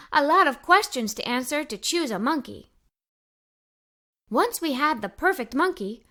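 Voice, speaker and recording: High, animated woman reading a children's story. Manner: animated, enthusiastic. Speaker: storybook narrator. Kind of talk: expressive children's read-aloud, narrating in character as an adventurous young girl.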